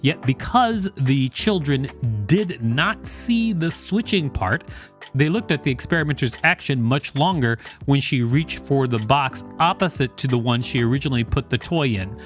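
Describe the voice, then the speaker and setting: A man's voice: mid-range voice, expressive, moderate pace, soft background music. A man hosting a podcast, reading an encyclopedia article aloud with the occasional brief aside.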